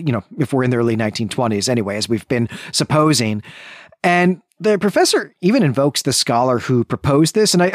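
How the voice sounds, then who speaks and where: A man hosts a literary podcast in a conversational, explanatory style. His pitch moves around a lot as he adds asides to his recap. The sound is dry, with very little room in it. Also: no echo. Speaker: podcast host.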